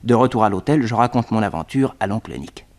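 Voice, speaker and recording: A man's voice: fairly low pitch, lively intonation, brisk, darkish tone, close to an everyday voice. A man playing a young detective who tells his adventure with suspense, in a 1960s radio serial. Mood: lively, suspenseful. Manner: storytelling, suspenseful, addressing listeners. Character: jaunty young male detective telling his own adventure.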